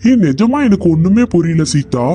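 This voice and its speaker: A man's voice: on the high side, animated, normal speed, warm tone, slight background noise, natural voice. A man doing a grumpy cartoon husband. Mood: puzzled. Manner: scolding, irritable, repetitive. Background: background music.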